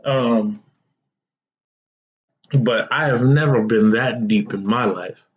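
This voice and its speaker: A young man hosts a solo podcast at an unhurried, drawn-out pace. His manner is relaxed and chatty, and he keeps addressing his listeners directly. The audio is a little dull and muffled. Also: medium pitch. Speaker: podcast host. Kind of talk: casual solo podcast talk.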